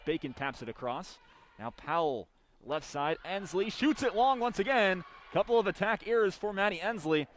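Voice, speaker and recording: Young guy doing volleyball play-by-play, kinda high voice, punchy calls, arena noise behind him. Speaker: radio play-by-play announcer. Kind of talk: live volleyball play-by-play commentary. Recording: background noise of a sports arena. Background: arena ambience.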